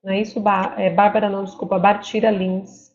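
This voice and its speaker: A woman's voice: mid-range voice, level tone, brisk. A woman moderates a webinar call, speaking in a friendly, conversational way as she relays questions. The audio is dull and lo-fi. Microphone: video call.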